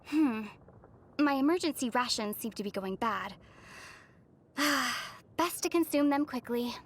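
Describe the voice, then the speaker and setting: A woman voicing a composed young female traveler in a video game, gentle, measured and thoughtful, with a wry edge. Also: sits high, animated, heavily acted. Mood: dry, wry. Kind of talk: video game character voice lines.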